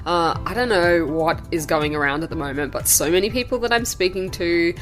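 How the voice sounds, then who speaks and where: A woman's voice: lowish voice, brisk, soft background music. A woman hosting a podcast, chatting casually while congested and a bit nasal from a cold. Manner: chatty, conversational, frequent "uh" asides. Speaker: podcast host. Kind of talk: casual solo podcast chat.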